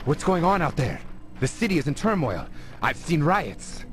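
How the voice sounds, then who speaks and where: A man's voice: a little high, very animated, normal speed, fairly bright tone. A man playing an earnest, anxious young townsman in a video game, hesitant and emotive. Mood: troubled. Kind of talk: video game cutscene voice acting. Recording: music behind the voice.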